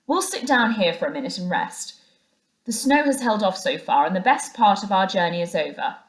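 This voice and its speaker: A woman voicing a cheerful male water rat in a storybook, brisk and kindly with chummy old-fashioned phrasing. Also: medium pitch, expressive, echoey room, lightly acted. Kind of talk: storybook character voice.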